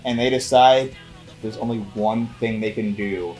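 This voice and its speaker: A man's voice: mid-range voice, fairly even intonation, unhurried, darkish tone. Enthusiastic man in casual movie chat, music behind. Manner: enthusiastic, profane fan talk. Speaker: podcast co-host.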